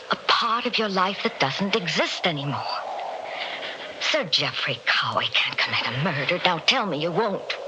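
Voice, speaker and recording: An actress playing an anguished, pleading widow in a 1960s radio drama, speaking with emotional, breathy urgency. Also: female voice, low-pitched. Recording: noisy old radio recording.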